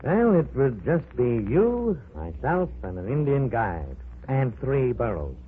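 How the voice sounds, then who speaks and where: An actor playing a confident older archaeology professor who explains things in a measured, authoritative way, in a 1940s radio drama. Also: male voice, medium pitch, sing-song, slightly rough, full character voice. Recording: tinny old radio broadcast with faint hiss.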